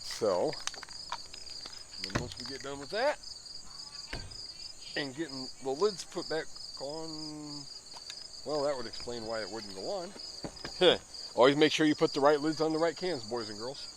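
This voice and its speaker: A man's voice: medium pitch, animated, unhurried, long pauses, background noise. A man in his fifties giving a rambling, self-deprecating running commentary as he spray paints. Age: 50s. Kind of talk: running commentary while working.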